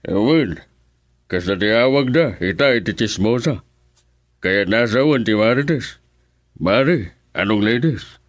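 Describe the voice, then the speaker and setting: Terse, grumbling Ilocano señor. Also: male voice, on the low side, lively intonation, unhurried, long pauses, no room sound, clean studio sound. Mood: teasing, dismissive. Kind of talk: radio serial drama acting.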